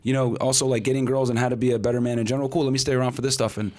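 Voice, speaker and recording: Fast-talking man in his thirties. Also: medium pitch, fairly even intonation, darkish tone. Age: 30s. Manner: rapid, filler-heavy storytelling with acted-out quotes. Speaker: podcast co-host, guest. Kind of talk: casual interview talk.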